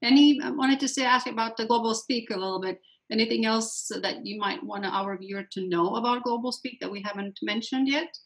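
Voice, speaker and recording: A woman's voice: fairly high pitch, fairly quick, few pauses. A woman interviewer whose first language is Latvian, asking questions and offering short supportive responses. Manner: brief encouraging interjections, supportive. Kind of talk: conversational interview.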